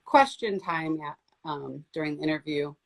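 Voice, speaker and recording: A woman's voice: deep voice, normal speed, vocal fry. A woman hosting an interview, asking questions in a friendly, upbeat way with frequent fillers. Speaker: interview host. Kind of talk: casual interview.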